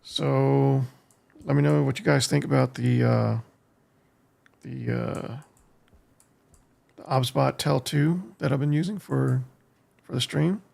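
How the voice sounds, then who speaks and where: An American man streaming a game, giving casual live commentary in a halting way as he thinks aloud, with a gravelly, husky voice. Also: mid-range voice, darkish tone. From United States. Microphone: streaming desk microphone.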